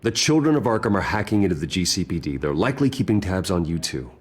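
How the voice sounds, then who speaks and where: A grave young billionaire in a story game, his very deep voice husky and even. He speaks in calm, measured, serious tones. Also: full character voice. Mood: concerned. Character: grave wealthy young man, secret vigilante. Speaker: game character.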